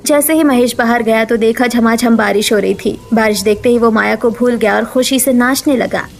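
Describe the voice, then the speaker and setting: A woman's voice: on the high side, moderate pace, gravelly, slight background noise. A woman narrator reading a scripted cartoon story.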